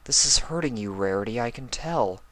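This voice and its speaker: A man's voice: mid-range voice, animated, normal speed, bright, forceful tone, no room sound, voice pushed up, rough recording. A man voicing an earnest, caring young male pony who speaks casually, in a storybook reading. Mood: concerned. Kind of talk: storybook character voice in fan fiction reading.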